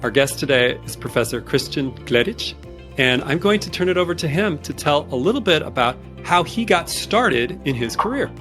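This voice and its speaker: A man's voice: a little high, lively intonation, moderate pace, a bit bright, faint music behind. A man hosting an online interview, enthusiastic and jokey, often repeating words as he talks. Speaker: interview host.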